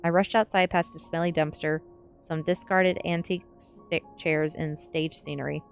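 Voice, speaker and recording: Low-voiced woman reading a story aloud. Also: fairly even intonation, normal speed, creaky. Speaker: streamer reading a novel aloud. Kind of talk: storybook narration, ASMR-style read-aloud. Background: faint background music.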